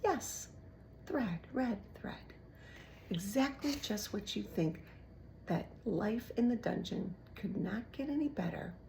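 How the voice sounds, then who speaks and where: A woman voicing a gloating storybook villain rat, with theatrical, savoring, drawn-out words. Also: medium pitch, lively intonation, gravelly, darkish tone, slight background noise. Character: gloating one-eared villain rat, falsely welcoming, sniffing.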